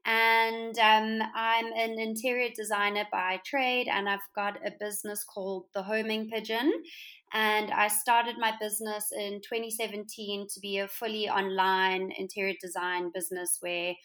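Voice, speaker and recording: A woman's voice: medium pitch, little pitch movement, moderate pace, fairly bright tone. A young woman with a South African accent, chatting as a podcast guest, with lots of ums and restarts. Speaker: podcast guest, interior designer. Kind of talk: casual interview chat.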